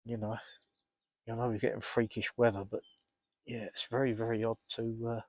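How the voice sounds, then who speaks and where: A man with a cold, rambling casually to camera while fishing outdoors by a lake, often clearing his throat. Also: medium pitch, little pitch movement, moderate pace, darkish tone, dry recording. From England. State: congested, blocked up with a cold. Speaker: carp fishing vlogger.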